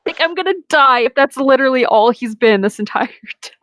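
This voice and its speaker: A woman's voice: sits high, brisk, a bit bright, no echo. A woman doing a comic read-aloud with casual, irreverent, incredulous commentary. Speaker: co-host and reader.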